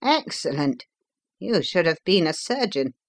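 A woman's voice: on the low side, big pitch swings, measured pace. Woman as a courteous, concerned Victorian doctor. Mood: reassuring, concerned.

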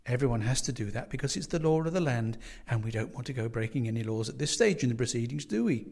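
A man's voice: mid-range voice, lively intonation, fast talker, vocal fry, a little mellow. A man voicing a dotty, overly sweet older landlady who gushes and fusses over her guest. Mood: coaxing. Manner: gushing, fussy, coaxing.

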